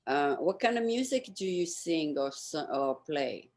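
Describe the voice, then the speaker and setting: A woman's voice: deep voice, lively intonation, measured pace, a little mellow. A woman interviewing a guest in a casual, conversational way, asking questions and adding short remarks. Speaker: interviewer, host.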